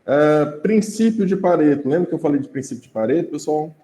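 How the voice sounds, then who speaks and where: A man's voice: fairly high pitch, a little fast, dark tone. A man with a Brazilian Portuguese accent teaching an informal class, talking conversationally and often checking in with his students. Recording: roomy echo.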